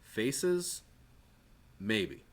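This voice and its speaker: Low-voiced loud dude hosting a podcast, real bouncy delivery, swears a ton. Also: male voice, very animated, very slow. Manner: loose, swearing, laughs easily. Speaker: podcast host. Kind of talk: casual chat. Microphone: podcast microphone.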